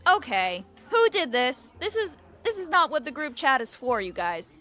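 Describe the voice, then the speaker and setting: A woman's voice: sits high, very animated, normal speed, fairly bright tone, faint music behind. An actress playing a blunt, inquisitive, gossipy woman friend in a naturalistic comedy podcast. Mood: blunt, incredulous.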